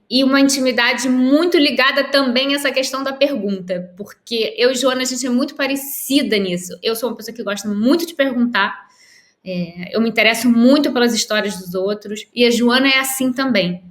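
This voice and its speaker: A Brazilian woman author, a guest on a remote interview, answering in a thoughtful, measured way with pauses and restarts. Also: on the high side. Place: at home in Lisbon, by a window. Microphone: video call. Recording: echoey room on a video call.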